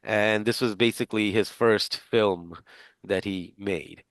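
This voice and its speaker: A man's voice: fairly low pitch, slow pace, no room sound. A middle-aged man teaching a class over a video call, talking casually with lots of fillers and restarts. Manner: rambling, many fillers and restarts. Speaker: instructor.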